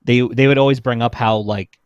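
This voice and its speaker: A man's voice: mid-range voice, steady tone, brisk, clear, resonant tone, no room sound, dull audio. An American man in his thirties co-hosting a casual chat, explaining things in an easygoing way. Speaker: podcast co-host.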